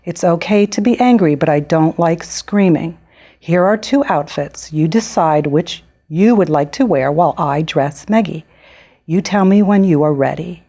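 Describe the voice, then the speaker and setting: A woman reading the part of a calm, patient but firm father in a picture book. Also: on the low side, moderate pace. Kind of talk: picture-book read-aloud.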